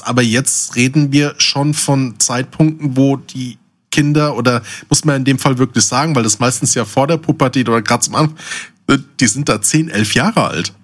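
Blunt man co-hosting a podcast. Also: mid-range voice. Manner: opinionated, blunt, colloquial. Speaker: podcast co-host. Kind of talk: casual podcast conversation.

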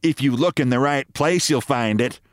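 A man voicing a sharp-tongued middle-aged wife who scolds and mocks her husband. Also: mid-range voice, moderate pace, no echo, clean studio sound, voice pushed up, lightly acted. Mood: scolding. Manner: scolding, sarcastic.

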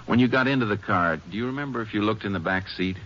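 A man acting a steady, courteous Texas Ranger investigator in a 1950s radio drama, clipped and matter-of-fact. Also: lowish voice, quick pace, natural voice. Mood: calm questioning. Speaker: radio actor. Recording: muffled old 1950s radio recording.

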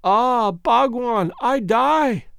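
A man voicing a gentle, devoted young woman who is dying, with a weak, fading, pleading delivery in a dramatic audiobook reading. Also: high-pitched, expressive, slow, no echo, studio quality, exaggerated voice. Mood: gasping. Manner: tender, pleading.

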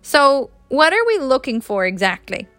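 A woman's voice: sits high, very animated, normal speed, a bit bright, no echo, pitched above the performer's own voice. A woman storyteller voicing a bashful young man, hesitant and awkwardly casual with his old friend. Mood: flustered.

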